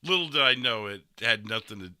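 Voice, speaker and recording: Man, very bright forceful voice, slightly low, casual streamer host, rambling storyteller. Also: animated, brisk, smooth. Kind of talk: casual podcast chat over game roleplay.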